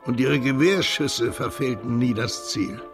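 Gravelly, tipsy old gentleman played by a man. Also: medium pitch, a little slow, over music, lightly acted. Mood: nostalgic, boastful. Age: older. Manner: drunken, nostalgic, gentlemanly. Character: older tipsy sentimental Russian bon vivant, courteous and talkative. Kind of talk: radio drama dialogue.

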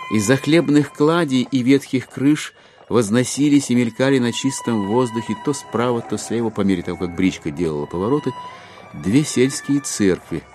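A man with a lowish voice narrates a literary audio drama. His intonation rises and falls widely, and he places deliberate pauses between phrases. Music plays behind him. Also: a little mellow.

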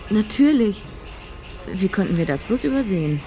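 A woman's voice: medium pitch, big pitch swings, normal speed, dark tone. An actress playing a wry, curious woman traveller in a radio drama, with a dry, teasing manner. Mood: polite.

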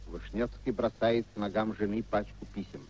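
A man's voice: lowish voice, steady tone, normal speed, a little mellow. A man narrating a 1950s radio play, reading out stage directions as scripted narration. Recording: dull 1950s radio recording.

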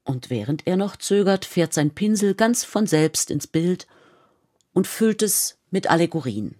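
A woman narrating a historical radio play in a measured, composed, literary manner. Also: fairly low pitch, big pitch swings, warm tone.

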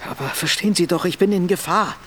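Casting: hunted refugee businessman, radio play. High-pitched, anxious, pleading, faint ambient noise behind. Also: quick pace, a bit bright. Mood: desperate pleading. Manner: pleading, agitated. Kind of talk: radio drama acting.

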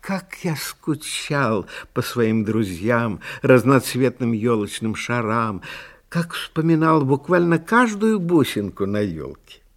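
Vain, wistful Christmas bauble, swooping expressively. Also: male voice, mid-range voice, slow pace. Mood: longing. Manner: genteel, self-pitying, dramatically expressive. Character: vain, fragile, sentimental male Christmas tree bauble, wistful storyteller. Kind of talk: theatrical children's fairy-tale audio play monologue.

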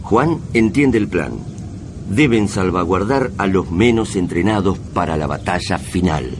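A man's voice: low-pitched, animated, unhurried, noisy background. A man with an Argentine accent narrating a radio drama in a dramatic, scripted style.

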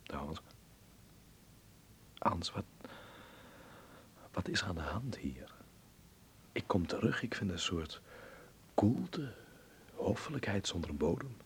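Een acteur speelt een verlegen, dromerige, welgemanierde jonge Nederlander in een hoorspel, aarzelend en terughoudend. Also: male voice, low-pitched, big pitch swings, slow, pauses often, a little hiss or hum, exaggerated voice. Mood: hurt, subdued. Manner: hesitant, reserved. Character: shy, dreamy, well-mannered upper-class young Dutchman. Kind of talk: radio drama acting.

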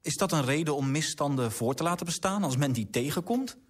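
An idealistic clerk in a radio play. He argues earnestly and formally in a slightly high, husky male voice. His intonation is very lively and his phrasing articulate. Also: brisk. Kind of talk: radio drama acting.

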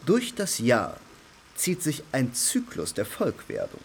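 An actor playing an urbane, wry theatrical gentleman in a radio drama, declaiming with relish. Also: mid-range voice, wildly swooping pitch, slow pace, gravelly, slightly rough, heavily acted. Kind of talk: German radio drama acting.

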